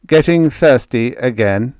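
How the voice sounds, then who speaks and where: A man's voice: medium pitch, expressive, measured pace, a little mellow, smooth, dry recording, voice pushed up, lightly acted. A man reading an audiobook, voicing a taunting crewman on a space freighter in an offhand, needling manner. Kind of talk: audiobook character voice.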